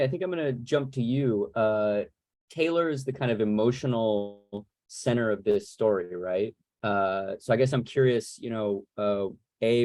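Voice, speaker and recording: A man's voice: lowish voice, darkish tone, no room sound. A man hosting a casual moderated interview over a video call, asking chatty, rambling questions. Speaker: host, moderator.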